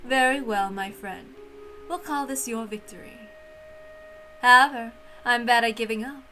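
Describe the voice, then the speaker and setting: A woman's voice: a little high, a little slow, sharp tone. A woman voicing a haughty, teasing, prank-loving witch in a theatrical visual novel read-aloud, mocking and grandly condescending. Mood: playfully threatening. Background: music.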